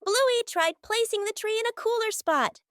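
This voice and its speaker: A woman's voice: very high voice, normal speed, a bit bright, dry recording, clean studio sound. A woman reading scripted narration for a children's cartoon story. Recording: music behind the voice.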